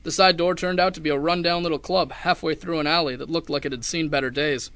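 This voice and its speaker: A young man playing a hard-boiled, wry detective who narrates a 1930s noir radio drama in a deadpan way. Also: a little high, quick pace, no echo. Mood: wry narration. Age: young adult. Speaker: narrator and lead actor.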